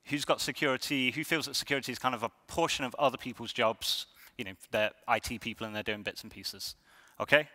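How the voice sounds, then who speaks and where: Bright-voiced man speaking in a hall. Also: mid-range voice. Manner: conversational, many ums and restarts. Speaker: conference speaker. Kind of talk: conference talk. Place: lecture hall. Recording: reverberant hall.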